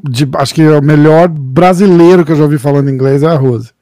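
Male podcast host, high voice, dry sound, casual slangy questions. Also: quick pace, warm tone, no echo. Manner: casual, slangy, brief interjections. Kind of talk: casual video-call interview. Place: gaming-lit studio room. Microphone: headset.

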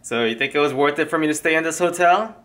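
A man in his thirties from Maryland, chatting excitedly to a handheld camera in a casual travel vlog. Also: a little high, quick pace. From Maryland, USA. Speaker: vlogger. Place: outdoors at night and airports. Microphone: handheld camera at arm's length.

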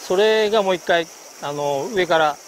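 A middle-aged man talking casually while fishing a river outdoors, pausing often with fillers. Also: sits high, slight background noise, poor audio. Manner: relaxed, many hesitation fillers. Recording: loud background music outdoors.

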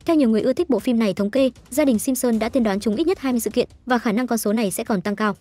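Fast-talking woman news narrator over music. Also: a little high, dark tone. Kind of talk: scripted entertainment news narration. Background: background music.

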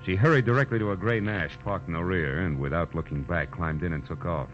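A man's voice: sits low, fairly quick, a bit bright, faint music behind. An actor playing a wry, world-weary private detective who narrates his own case in 1940s radio drama style. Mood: wry narration. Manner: wry, sardonic. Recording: dull old-time radio recording.

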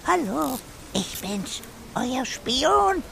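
A woman's voice: high-pitched, very animated, drawn-out words, gravelly, very noisy, full character voice. A woman voicing a cheeky talking parrot who speaks in rhymes in a children's audio drama. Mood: chatty, secretive. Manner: speaks in sing-song rhymes. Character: cheeky rhyming talking parrot, a loyal spy. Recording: music behind the voice.

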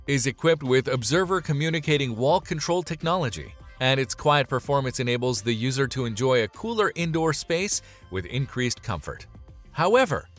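A man's voice: medium pitch, a bit bright. A man reading a scripted product review as voice-over, upbeat and emphatic on transitions. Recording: voice-over with faint background music.

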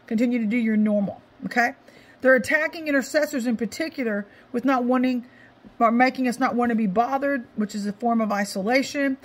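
Middle-aged woman, ministry host. Raspy, slightly high voice, emphatic and informal, hammering phrases by repetition. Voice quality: scratchy. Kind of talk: informal devotional exhortation.

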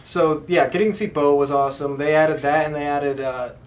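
A man's voice: on the high side, steady tone, a little fast. A man co-hosting a wrestling podcast, talking casually as a fan. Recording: clear background noise, room ambience.

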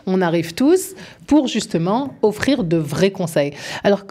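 A woman's voice: medium pitch, very animated, measured pace, mellow. A woman in her fifties, an employment advisor, explaining things earnestly and persuasively in a radio studio interview. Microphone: studio microphone.

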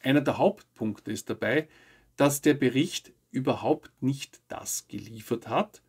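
A man in his fifties presents scripted news commentary to camera. His voice is creaky and husky, at a medium pitch. He speaks in measured, formal sentences, laying out his points in order. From Austria. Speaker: host of a weekly UFO news show.

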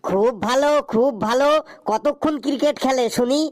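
An actor playing a sarcastic, exasperated doctor in an animated comedy sketch, with a theatrical, scolding delivery. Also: sits high, normal speed, lightly acted. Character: exasperated, sarcastic cartoon doctor fed up with silly patients.

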